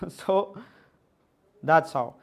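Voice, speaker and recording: High-voiced man teaching physics slowly. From central India. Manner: mixes Hindi and English, reads out student questions and answers them. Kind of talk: online class lecture.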